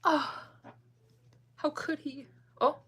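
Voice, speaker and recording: A woman's voice: sits high, fairly even intonation, extremely slow, sharp tone, slight background noise. A young woman from the United States chatting casually on a livestream, rambling with lots of fillers and self-corrections as she answers chat.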